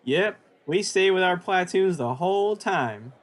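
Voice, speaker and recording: Male actor as gung-ho returning GI, high voice, vintage radio comedy, eager and hearty. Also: animated, unhurried. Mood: hearty, proud. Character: eager young male American soldier home from war. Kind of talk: 1940s radio acting.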